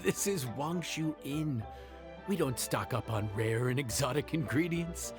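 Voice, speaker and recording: An actor playing a proud, grumbling inn chef in a fantasy video game, weary and exasperated. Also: male voice, medium pitch, expressive, a little slow, close to an everyday voice. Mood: resigned, resourceful. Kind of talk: English video game voice acting. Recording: music behind the voice.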